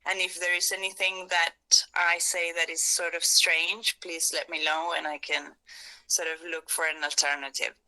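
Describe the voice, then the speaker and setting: Bright-voiced Argentine woman leading a workshop. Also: lowish voice, level tone, moderate pace, poor audio. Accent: Argentine Spanish. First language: Spanish. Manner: searching for words, restarts phrases, hedges with "sort of". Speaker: workshop facilitator. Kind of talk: live workshop talk to hackathon participants.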